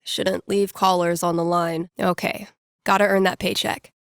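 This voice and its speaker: An actress playing a wry, nerdy young record-store clerk and radio DJ in a video game, muttering to herself in a dry, sardonic, conversational way. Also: female voice, fairly low pitch, level tone, a little slow, no echo. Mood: casual, preoccupied. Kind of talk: naturalistic video game voice acting.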